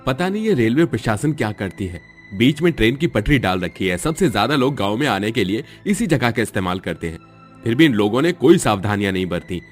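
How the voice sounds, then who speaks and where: A man's voice: medium pitch, big pitch swings, fairly quick, faint music behind, natural voice. A man voicing an earnest, frustrated young village man who complains in a cartoon story.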